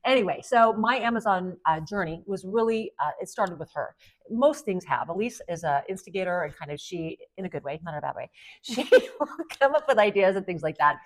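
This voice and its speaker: A woman's voice: lowish voice, lively intonation, fairly quick. Rambling woman in her fifties. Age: 50s. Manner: rambling, meandering storyteller with many fillers. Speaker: podcast guest and Amazon influencer. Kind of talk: casual conversation.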